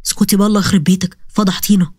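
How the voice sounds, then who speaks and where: امرأة تؤدي عريسًا شابًا غاضبًا بلهجة مصرية. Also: female voice, medium pitch, little pitch movement, very fast, darkish tone, slightly rough, no echo, studio quality, voice pushed down, natural voice. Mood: angry scolding. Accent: Egyptian. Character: angry young groom, harsh and scolding.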